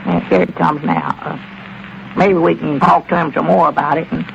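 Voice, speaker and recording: An actor playing a grumpy old country partner with a drawl, impatient and plain-spoken, in a 1940s radio comedy. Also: male voice, on the high side, steady tone, normal speed, vocal fry, a bit bright, lo-fi sound, a little hiss or hum.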